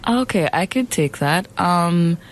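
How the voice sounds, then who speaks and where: A young woman, a guest on a radio show, speaking in a relaxed way with lots of fillers. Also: lowish voice, takes it slowly, a little hiss or hum. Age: young adult. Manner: relaxed, filler-heavy speech. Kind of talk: casual radio interview.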